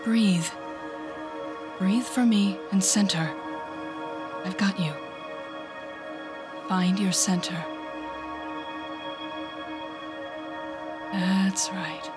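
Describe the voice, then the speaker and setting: A woman performs a telepathic supervillain from an audio roleplay, unhurried and deliberate over a soft music bed. She is a sardonic, bitter mentor with a fiercely protective streak. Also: medium pitch, fairly even intonation. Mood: soothing, focused. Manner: sardonic, deliberate, shifting to soothing whispers. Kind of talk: dramatic audio roleplay acting.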